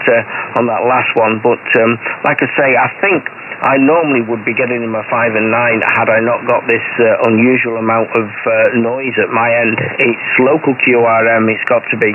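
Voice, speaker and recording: A man talking casually as a ham radio operator in a halting, rambling way, over a shortwave radio link. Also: mid-range voice. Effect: shortwave radio transmission. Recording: narrow-band radio, faint background noise.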